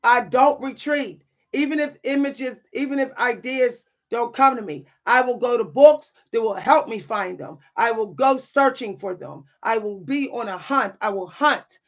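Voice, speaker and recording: A woman with a deep, at times loud voice, speaking in a bold, sassy way with dry humor and repeating phrases for emphasis in a motivational monologue. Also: unhurried, lo-fi sound. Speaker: spiritual content creator and writer.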